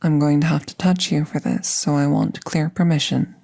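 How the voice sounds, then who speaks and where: A woman playing a calm, gentle magical veterinarian in a soft, breathy, soothing ASMR-style roleplay, often half-whispering. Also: deep voice. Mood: gentle, careful. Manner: slow, hushed, soothing, reassuring. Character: gentle, calm female magical veterinarian treating a wounded werewolf.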